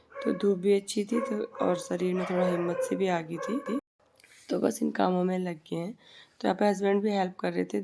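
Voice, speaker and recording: A woman in her thirties with a hoarse, heavy voice from a sore throat, chatting and rambling through a casual voice-over for her family vlog. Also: lowish voice, background noise. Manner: chatty, rambling, repeats herself. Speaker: family vlogger. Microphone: voice-over recorded separately from the footage.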